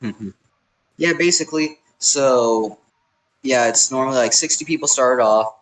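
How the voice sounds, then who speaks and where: Young male podcast co-host, medium pitch, conversational and matter-of-fact. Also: measured pace, low-quality recording. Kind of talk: casual chat, explaining.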